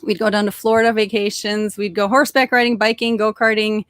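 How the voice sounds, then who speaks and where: A woman's voice: mid-range voice, flat delivery, a little fast, fairly bright tone, no room sound, clean studio sound. A young adult woman born in Norway and raised near Detroit, chatting cheerfully as a podcast guest, with frequent fillers and restarts. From born in Norway, raised in suburban Detroit, Michigan. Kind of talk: casual interview storytelling.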